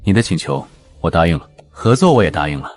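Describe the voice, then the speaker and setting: A man playing a cool, self-possessed young man in scripted romance drama, unhurried and assured. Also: low voice, big pitch swings, darkish tone, soft background music.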